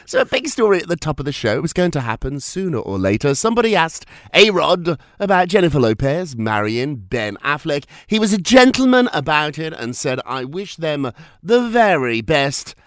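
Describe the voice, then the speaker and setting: A man's voice: on the high side, very animated, normal speed, a bit bright, no room sound, clean studio sound. A man hosting a gossip podcast, chatty and theatrical, with a slight cold. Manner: chatty, laughs often, theatrical asides. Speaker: podcast host. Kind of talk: casual gossip chat.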